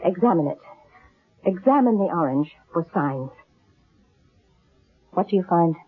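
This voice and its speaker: A woman's voice: on the low side, animated, a little slow, breathy edge. An actress playing a shrewd, middle-aged female fortune-teller and con artist, commanding and portentous, in old-time radio drama style. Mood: solemn, commanding. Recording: thin, narrow-band old radio recording.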